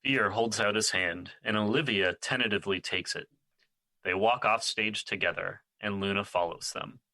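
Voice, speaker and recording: Sharp, deep-voiced male stage-direction narrator. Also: moderate pace, no echo, rough recording. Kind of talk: scripted narration.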